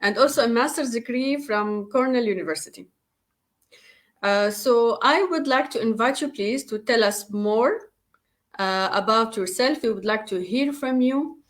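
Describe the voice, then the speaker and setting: Arabic-speaking woman hosting an interview, kinda high voice, lots of uhs, excited. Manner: enthusiastic, halting with many fillers. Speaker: interview host.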